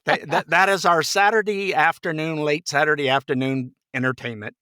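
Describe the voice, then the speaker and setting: An older man with a bright, forceful voice tells casual stories in a jovial, folksy manner. He jokes as he goes, and the recording is close and dry. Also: on the high side, steady tone, moderate pace, clean tone, dry recording. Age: 60s or older. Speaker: podcast guest, voice actor. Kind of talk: casual chat, anecdotes.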